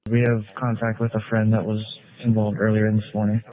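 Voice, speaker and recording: A man's voice: fairly low pitch, completely flat delivery, brisk, heavy background noise. A male police officer giving terse reports over the radio. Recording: tinny, narrow police radio with background voices.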